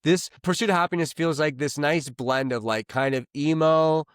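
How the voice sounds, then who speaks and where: A man co-hosting a podcast, talking loosely and profanely about songs, rambling and excitable. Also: a little high, normal speed, clean tone, no room sound, clean studio sound. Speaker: podcast co-host. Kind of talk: casual chat. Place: lives in Los Angeles.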